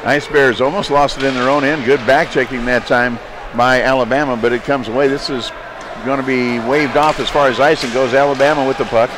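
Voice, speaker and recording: A man adding quick commentary on a live hockey game. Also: medium pitch, fairly even intonation, noisy background. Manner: quick, conversational analysis. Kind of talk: sports commentary.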